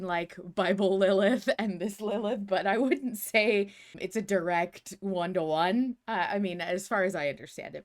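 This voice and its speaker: A woman co-hosting a live fan podcast, chatting casually with lots of fillers and restarts, and sometimes reading notes aloud. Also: mid-range voice. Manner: chatty, self-deprecating, many fillers and restarts. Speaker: podcast co-host. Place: home setup on a live stream.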